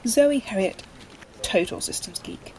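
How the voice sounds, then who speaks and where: A woman's voice: mid-range voice, lively intonation, unhurried, darkish tone, natural voice. A woman playing a polite, curious young systems geek with a precise, eager manner in an audio drama. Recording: noisy background.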